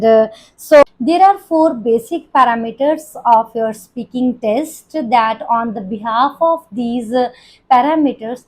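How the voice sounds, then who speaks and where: A woman's voice: a little high, low-quality recording. A young woman with an Indian English accent with a Punjabi touch, teaching an English-test lesson with many fillers and restarts. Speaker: IELTS coaching teacher. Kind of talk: spoken lesson to students.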